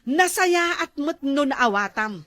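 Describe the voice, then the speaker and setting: A woman's voice: high voice, expressive, slow, a bit bright, no echo, heavily acted. A woman with an Ilocano accent playing a jealous, suspicious, hot-headed wife in a comedy drama, scolding and exclaiming.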